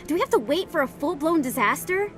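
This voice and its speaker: A woman's voice: expressive, brisk, fairly bright tone, faint music behind. A woman playing a persuasive, assured investigative reporter in a science fiction video game, speaking articulately. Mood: insistent, reassuring. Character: persuasive, driven female investigative reporter of space opera. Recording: faint rumbling background noise.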